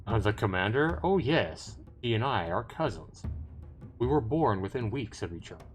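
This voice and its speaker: A man reading the part of a reserved, courteous elf scout in a visual novel, measured, hesitant and polite. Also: fairly low pitch, very animated. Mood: modest, polite. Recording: music behind the voice.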